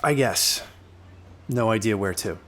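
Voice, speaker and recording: A man's voice: fairly low pitch, a little slow, fairly bright tone, slight background noise. A man playing an evasive informant in his thirties in an audio drama, with a guarded, clipped, needling way of talking. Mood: reluctant, evasive. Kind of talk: naturalistic audio drama dialogue.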